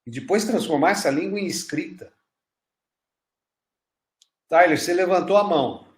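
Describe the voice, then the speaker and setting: A man's voice: high-pitched, normal speed, reverberant. A man, a pastor teaching a church class in a conversational, storytelling way with frequent restarts.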